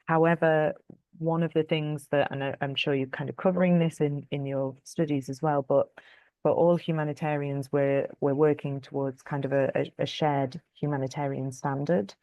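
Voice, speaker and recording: A woman's voice: rumbling low, dark tone, dry recording. A woman in her thirties answering career questions in a webinar, thoughtful and hesitant, with many fillers. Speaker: public health lead answering career questions. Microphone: video call.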